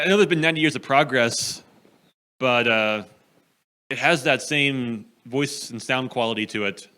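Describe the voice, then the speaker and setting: A man's voice: mid-range voice, pushed and bright. A man commenting casually from the audience of a class. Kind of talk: casual discussion.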